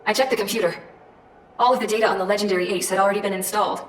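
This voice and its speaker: An actress playing a tough, street-smart military woman in a game, talking in a blunt, casual, no-nonsense way over loud music. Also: medium pitch, steady tone, very fast, far from the mic, background noise, lightly acted. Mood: decisive. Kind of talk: scripted storytelling narration.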